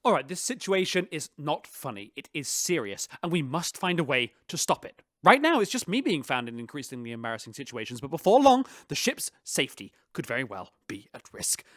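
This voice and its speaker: A pompous, easily flustered starship captain in a sci-fi radio drama. His voice is high for a man and swoops theatrically, recorded dry and close. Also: very animated, brisk, hardly any pauses, fairly bright tone, no room sound. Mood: indignant, alarmed. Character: flustered, pompous, embarrassed male spaceship captain.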